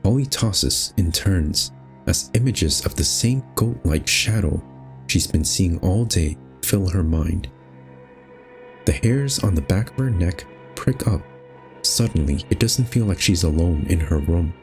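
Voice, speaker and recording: A very low-pitched male voice reads a horror game story aloud with measured, dramatic phrasing. He hosts the playthrough as narrator, with soft music lying underneath. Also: lively intonation. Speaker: host reading a visual novel aloud. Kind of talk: expressive scripted story narration.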